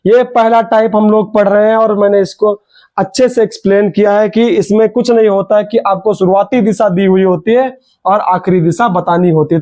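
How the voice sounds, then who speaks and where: Young guy coaching a reasoning class, fairly high voice, sore throat, keeps pushing students to answer fast. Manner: energetic, urging students, repeats key words. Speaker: reasoning teacher. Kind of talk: online exam coaching class.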